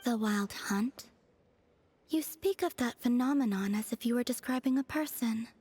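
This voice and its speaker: A woman voicing a serene, aloof young moon goddess in a video game, speaking softly in a measured, formal, deadpan way. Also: on the high side, breathy edge, no room sound. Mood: pensive. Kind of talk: English video game dialogue acting. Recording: faint music behind the voice. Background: faint background music.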